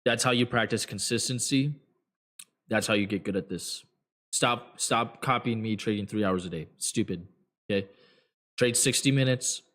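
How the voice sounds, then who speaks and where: Guy hosting a trading show, kinda low voice, going hoarse, lots of ums and restarts. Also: normal speed, fairly bright tone. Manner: blunt, rambling, many fillers and restarts. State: voice getting hoarse. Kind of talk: casual advice talk to viewers.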